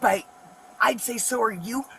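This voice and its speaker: A woman's voice: on the high side, measured pace, vocal fry. A woman pulled over in her vehicle at a roadside, arguing in a stubborn, defiant manner. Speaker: driver pulled over in a traffic stop. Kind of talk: argumentative exchange. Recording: noisy roadside body-camera audio.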